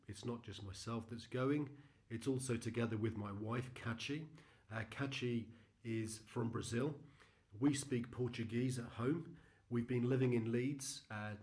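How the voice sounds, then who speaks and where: A middle-aged British man giving an earnest, measured church presentation about his mission work, pausing often with ums and uhs. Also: medium pitch, darkish tone. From United Kingdom. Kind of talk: recorded slide presentation.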